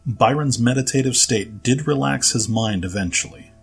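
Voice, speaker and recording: A man's voice: mid-range voice, moderate pace, vocal fry, husky, faint music behind. A man reading scripted story narration as the narrator of an audio drama.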